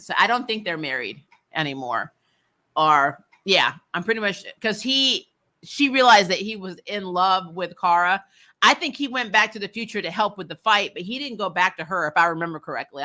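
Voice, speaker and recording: A woman hosting a casual, opinionated recap show, talking in a blunt, animated and emphatic way. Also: on the low side. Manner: animated, blunt, freely swearing.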